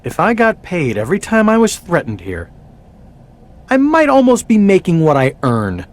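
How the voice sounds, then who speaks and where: A man's voice: high voice, huge pitch swings, moderate pace. A man playing a snide, unimpressed wrestling trainer in a video game, dryly sarcastic. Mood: sardonic. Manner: dry, sarcastic. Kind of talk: video game cutscene acting.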